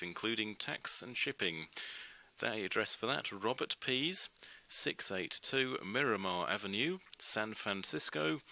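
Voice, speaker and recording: A man presents on radio, reading scripted news and reviews. His voice sits a little low, with plenty of creaky fry and a very bright, forceful edge. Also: poor audio.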